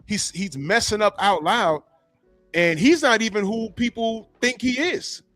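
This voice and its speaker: High-voiced guy hosting a podcast, animated and sweary, dry close mic. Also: a little slow, no echo, faint music behind. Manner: animated, profane, storytelling with put-on quotes. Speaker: podcast host. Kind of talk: casual podcast chat.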